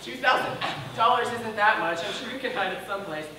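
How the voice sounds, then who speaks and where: A man's voice: high voice, brisk, natural voice. Man acting a timid neurotic accountant, very echoey. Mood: anxious, polite. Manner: meek, over-polite, anxious. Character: timid, neurotic, hysterical male accountant with childish streak. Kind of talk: broad comic stage acting. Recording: echoey theatre, distant mic, noise, audience.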